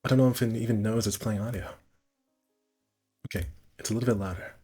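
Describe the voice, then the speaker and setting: A man's voice: on the low side, fairly even intonation, fast, mellow, breathy edge, faint music behind. A man casually streaming a visual novel, reading the narration aloud and correcting himself with muttered asides.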